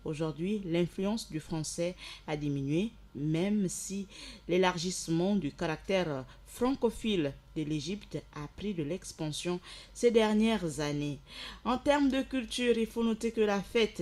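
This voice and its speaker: A woman hosting a radio show, explaining facts from her notes and sending loud greetings to listeners. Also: fairly low pitch, animated, a little slow. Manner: explanatory, rises in energy for shout-outs. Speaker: radio host.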